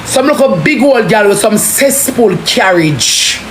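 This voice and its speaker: A Jamaican woman delivers a forceful, impassioned rant in casual street talk. She curses heavily and hammers phrases by repeating them. The recording is echoey, with clear noise behind her voice. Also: mid-range voice, lively intonation, a little slow. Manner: forceful, heavy cursing, repeats phrases for emphasis. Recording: roomy echo, clearly noisy background.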